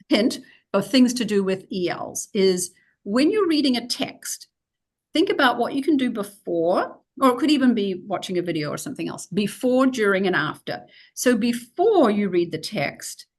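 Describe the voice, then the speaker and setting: A middle-aged woman with a New Zealand accent talks through a slide lecture for teachers. Her pitch sits at a typical middle level, and she explains things plainly and practically, often pausing on fillers. She sounds like she is speaking into a webcam on a video call. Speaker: teacher trainer.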